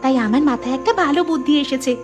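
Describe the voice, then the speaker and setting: Woman playing high-pitched eager schoolgirl over music. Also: very fast, natural voice. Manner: earnest, pleading. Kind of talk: cartoon voice acting.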